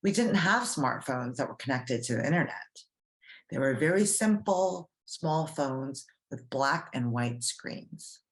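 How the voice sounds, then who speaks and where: A woman's voice: very deep voice, lively intonation, measured pace, low-quality recording. A woman reading aloud as a teacher for young children, explaining simply and putting questions to the listeners. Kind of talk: children's read-aloud lesson.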